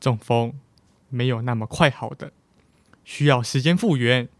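A man's voice: mid-range voice, a little slow, no room sound, natural voice. A man playing a supportive, plain-spoken father in a family radio drama. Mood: reassuring.

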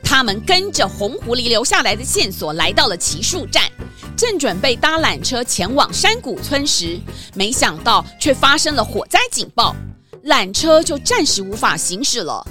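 A woman's voice: on the high side, very animated, normal speed, over music. A woman reading scripted narration for a children's detective story.

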